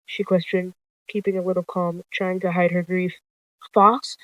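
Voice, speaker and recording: A storyteller reads roleplay narration aloud at a middling pitch. The sound is close and dry, and the reading has occasional stumbles that are quickly corrected. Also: completely flat delivery, a little mellow, no room sound, dull audio. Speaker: narrator of an online warrior cats roleplay video. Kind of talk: reading out text roleplay, narration and character dialogue.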